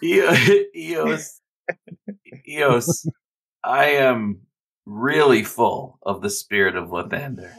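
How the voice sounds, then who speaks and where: A man's voice: medium pitch, slow, gravelly, long pauses, a bit bright, rough recording. A man speaking earnestly and wordily as a player in a tabletop roleplaying game. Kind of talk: casual chat and in-character roleplay at a game.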